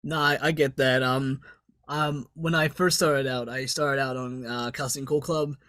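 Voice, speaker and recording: A man's voice: mid-range voice, steady tone, unhurried, fairly bright tone, no echo, studio quality. A young man from New Zealand with a New Zealand accent, hosting a casual interview and talking hesitantly with lots of fillers. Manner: hesitant, lots of fillers, laughs between phrases. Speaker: podcast host.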